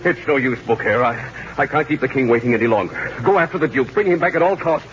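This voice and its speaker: An actor playing a fussy, commanding and exasperated court general in a 1940s radio comedy. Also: male voice, mid-range voice, fast, fairly bright tone, muffled recording, natural voice. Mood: urgent. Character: fussy, urgent male court general coaching an impostor. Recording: noisy band-limited 1940s radio broadcast.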